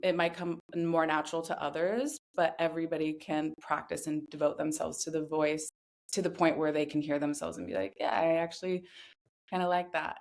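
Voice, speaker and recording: A woman in her thirties with a distinctly low voice talks reflectively as an interview guest. She is earnest and chooses her phrases with deliberate care, letting them land in a measured way. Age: 30s. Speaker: podcast guest, somatic voice guide. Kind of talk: reflective personal interview talk.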